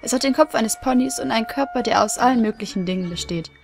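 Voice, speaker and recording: A woman's voice: on the high side, fast, gravelly. A woman playing a pony schoolteacher lecturing foals in an audio drama, instructive and firm. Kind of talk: fan audio drama acting. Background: faint music.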